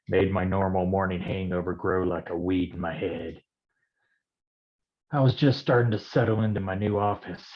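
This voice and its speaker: A world-weary private eye played by a man, talking in a very low register. He drawls hard-drinking film noir slang, part voiceover and part dialogue. The sound is dull, like a livestream. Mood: weary, wry. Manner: slangy hardboiled delivery.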